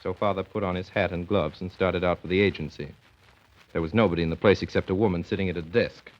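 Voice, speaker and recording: A man's voice: deep voice, fairly even intonation, fast talker, lightly acted. An actor playing a grown son who wryly recalls his childhood household as narrator of a 1930s radio play. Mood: fond, measured. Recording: dull vintage 1930s radio broadcast.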